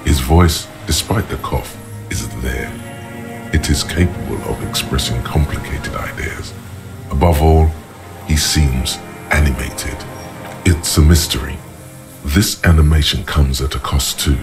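Super deep ominous narrator man, grave and deliberate, game storytelling, music behind him. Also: creaky, heavily acted. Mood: grave, analytical. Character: omniscient inner-voice narrator, grave, observant, ominous. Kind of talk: dramatic literary game narration.

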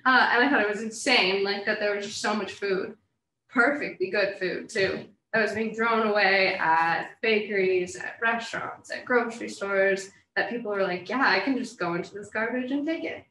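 A woman's voice: medium pitch, moderate pace, pushed and bright. A young woman from Canada, a co-founder giving a casual, chatty talk to students. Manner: chatty, laughs often. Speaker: guest speaker, co-founder. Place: university classroom. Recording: echoey classroom, mic from afar.

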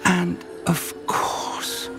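An actor playing a thoughtful older wizard professor in a video game, musing and measured. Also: male voice, over loud music. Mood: awed, wistful. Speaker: professor and mentor.

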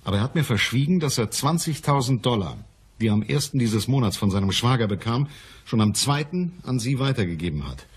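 A man's voice: medium pitch, expressive, fairly quick, husky. An actor playing a shrewd, businesslike male private detective in a radio crime play.

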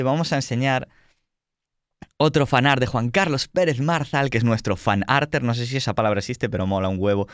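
A man's voice: medium pitch, dry recording, studio quality. A man with a Spanish accent from Spain, casually hosting a livestreamed tabletop roleplay show as game master, with teasing, mock-boastful banter. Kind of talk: casual joking introduction of players on a livestream.

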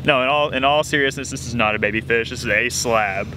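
A man's voice: mid-range voice, quick pace. A young man co-hosting a casual fishing vlog, talking to camera with playful teasing and trash talk, outdoors by a lake and then indoors at home. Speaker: fishing vlogger, co-host. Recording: noisy camera audio.

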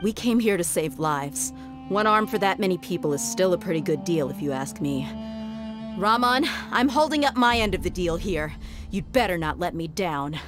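A woman's voice: lowish voice, expressive, moderate pace, music in the background, natural voice. An actress playing a tough, easygoing young female mercenary in a video game, casual and cool-headed. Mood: resolute.

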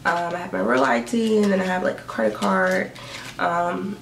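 A woman's voice: mid-range voice, normal speed, vocal fry, slight background noise. Stuffy-voiced young woman vlogging in her bedroom. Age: young adult. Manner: chatty, upbeat, lots of likes and ums. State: stuffy and sniffling from allergies. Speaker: beauty and fashion vlogger. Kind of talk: casual what's-in-my-bag chat. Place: bedroom indoors. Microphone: camera in front at desk distance. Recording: roomy bedroom echo, mic a bit far.

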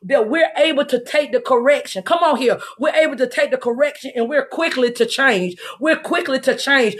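Middle-aged woman, high voice, fervent charismatic preacher, exhorting, repeats phrases for emphasis. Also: husky. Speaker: apostle leading a livestream prayer broadcast. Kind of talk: fervent charismatic sermon and prayer. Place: room at home, indoors.

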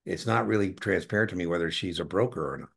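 A middle-aged American man with a gravelly voice tutoring one-on-one over a video call, informal, encouraging and given to tangents. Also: deep voice, animated, quick pace, a bit bright. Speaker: exam tutor. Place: indoor room on a video call.

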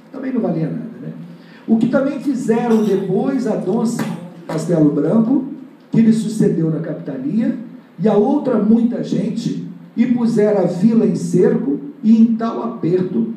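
A man's voice: high-pitched, warm tone. An older man giving a lecture, reading an old historical text aloud and breaking off to comment on it, recorded from a distant mic in an auditorium. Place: auditorium with panel table. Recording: echoey hall recorded from afar.

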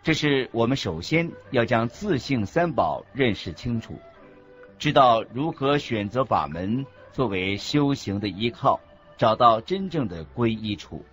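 A man reading scripted religious teaching as a narrator, in a deliberate, instructive manner. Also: medium pitch, big pitch swings, a bit bright. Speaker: narrator of a Buddhist teaching radio program. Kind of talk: scripted didactic narration in a radio program. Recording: muffled lo-fi audio with music underneath. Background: background music.

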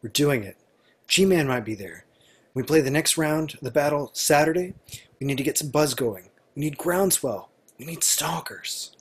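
A man's voice: a little high, expressive, moderate pace, breathy edge. A man playing a pushy, anxious indie band frontman in an amateur script read. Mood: urgent. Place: home, group video call.

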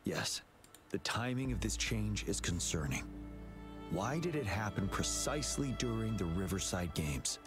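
An actor voicing a steady, serious young male warrior leader in a video game, speaking earnestly and decisively. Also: fairly low pitch, measured pace. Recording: game dialogue over music.

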